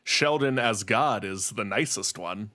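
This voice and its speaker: A man's voice: lowish voice, measured pace, sharp tone, dry recording. Man with a dry, close-recorded voice. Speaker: podcast panelist. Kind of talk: casual comic banter.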